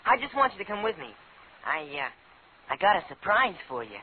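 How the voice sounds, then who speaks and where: A man's voice: high voice, very animated, normal speed, narrow, tinny audio. A male actor playing a skinny, cocky young boy who plans crimes, brash and insistent, in a 1950s radio drama. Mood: eager. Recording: hissy, band-limited old radio broadcast.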